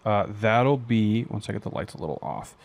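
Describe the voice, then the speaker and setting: A man's voice: lowish voice, expressive, normal speed, vocal fry, background noise. A man talking casually as a player in a tabletop game, describing his character's moves. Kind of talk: casual improvised game chat.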